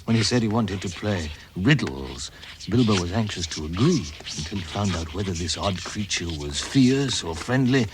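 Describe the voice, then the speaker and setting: A man narrating a fantasy tale as a measured storyteller in a vintage radio drama. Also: low-pitched, expressive, background noise. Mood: storytelling. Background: music.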